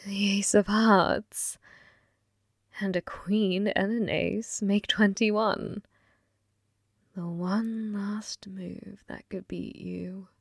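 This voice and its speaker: Woman doing a flirty yandere demon lady for asmr, breathy whispery w some vocal fry, super close dry mic, teasing and possessive. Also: medium pitch, fairly even intonation, no echo, exaggerated voice. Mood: smug, flirty. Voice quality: breathy, often dropping to a whisper. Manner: slow, teasing, calls listener love. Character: flirty, possessive female demon gambler, taunting and sweetly menacing. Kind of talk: ASMR audio roleplay. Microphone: close microphone, dry.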